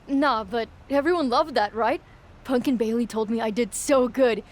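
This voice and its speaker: A woman's voice: on the high side, moderate pace, lightly acted. A woman playing a naive, eager young woman wrestler and devoted follower in a video game cutscene, speaking with starstruck earnestness. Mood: eager, trusting.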